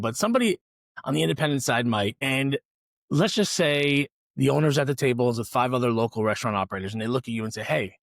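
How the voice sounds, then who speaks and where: A man's voice: medium pitch, a bit bright, no room sound. A man hosting a business podcast, chatting upbeat and explaining things straight to his listeners. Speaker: podcast host. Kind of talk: casual interview and ad read.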